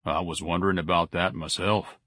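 A man voicing a dim, vague male clerk with a slow, drawling manner in an audiobook. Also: deep voice, sharp tone, no echo, exaggerated voice. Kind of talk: audiobook character voice.

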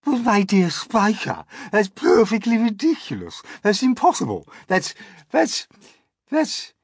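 A man's voice: high-pitched, wildly swooping pitch, unhurried, breathy edge, no room sound, clean studio sound, voice pushed up, full character voice. A man voicing a greedy, scoffing, bossy middle-aged aunt who blusters and exclaims in a children's storybook. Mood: incredulous. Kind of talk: dramatic children's storybook character reading.

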